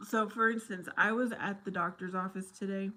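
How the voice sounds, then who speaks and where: A woman's voice: mid-range voice, steady tone, normal speed, bright, forceful tone. A woman chatting casually with viewers on a livestream, slipping Spanish phrases in between English. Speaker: livestream host.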